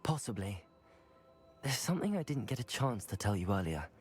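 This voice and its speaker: A man's voice: lowish voice, sing-song, normal speed. A man playing an earnest, determined young wizard student in a fantasy game, polite and articulate. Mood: serious, informative. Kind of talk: dramatic fantasy video game acting.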